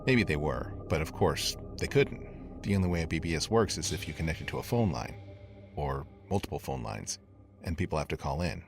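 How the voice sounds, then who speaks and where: A brooding investigator telling a dark mystery, really low voice, slow deliberate confiding delivery, music behind him. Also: male voice, expressive. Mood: measured, intrigued. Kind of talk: scripted first-person horror podcast narration.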